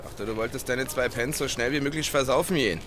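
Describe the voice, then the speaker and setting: A man as a fast-talking working-class mate. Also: medium pitch, fairly bright tone, lightly acted. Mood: matey, offhand. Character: matey working-class male pub pal. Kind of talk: radio play acting. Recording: faint outdoor street noise.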